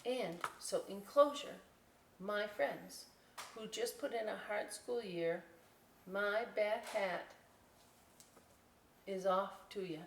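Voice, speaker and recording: A woman's voice: mid-range voice, slow, vocal fry, long pauses, slight background noise. A woman hosting a library story time, reading a picture book aloud with chatty, folksy asides to children. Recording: echoey room, distant microphone.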